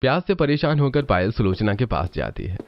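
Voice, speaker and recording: A man narrating a scripted family tale as a storyteller, with expressive delivery. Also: fairly low pitch, brisk, vocal fry, lo-fi sound, soft background music.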